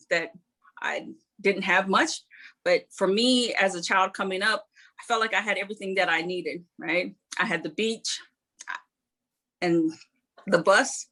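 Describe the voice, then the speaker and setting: A middle-aged woman from New Zealand, an Air Force member telling her life story in an interview, earnest and modest, pausing often. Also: medium pitch, pushed and bright. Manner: earnest, modest, reflective with frequent pauses.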